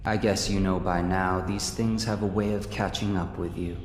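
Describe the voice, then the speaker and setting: A man voicing a cryptic, all-seeing supernatural being in a video game, speaking in a measured, ominous and sardonic way. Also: sits low, steady tone, natural voice. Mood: ominous mockery. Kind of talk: scripted game monologue. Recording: echoing reverb with faint music.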